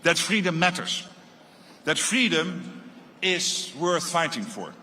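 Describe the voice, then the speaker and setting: A man with a Dutch accent delivering an earnest, deliberately phrased formal speech as a guest speaker. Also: a little high, big pitch swings, sharp tone, slight background noise. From Netherlands.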